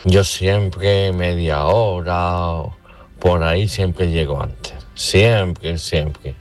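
A man with an extremely low voice and heavy fry talks casually about his gripes. He is emphatic and likes to repeat his phrases. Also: steady tone, drawn-out words, soft background music. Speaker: radio listener contributor. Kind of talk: casual spoken complaint.

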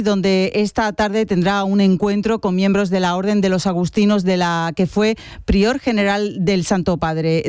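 A man reading the hourly radio news bulletin in a steady, formal way. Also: sits high, clear, resonant tone, no room sound, studio quality. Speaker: radio newsreader.

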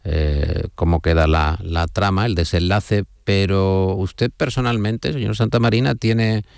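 Deep-voiced radio interviewer. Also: male voice, steady tone, a little slow, creaky, a little mellow, smooth, dry recording, clean studio sound.

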